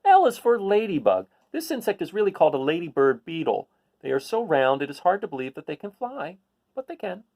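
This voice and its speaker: Male read-aloud host for a children's book, slightly high voice, very lively intonation, explains things with casual asides. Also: brisk. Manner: explanatory, addressing young listeners.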